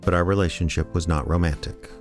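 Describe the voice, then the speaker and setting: Reluctant small-town gumshoe, played by a male actor, low deadpan delivery, radio drama with music underneath. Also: fast talker, close to an everyday voice. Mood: wry, reflective. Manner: deadpan, wry. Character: wry, reluctant small-town amateur private detective narrating his story. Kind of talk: audio drama narration and dialogue.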